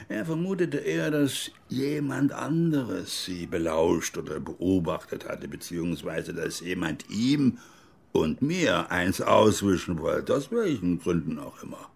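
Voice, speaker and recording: Gravelly, embittered older ex-officer. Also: male voice, low-pitched, exaggerated voice. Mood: sober, grudging. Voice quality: gravelly, husky. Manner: cynical, coarse, grudging. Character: embittered, gruff older male former East German army officer. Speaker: interviewee recounting his past. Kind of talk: naturalistic radio drama monologue.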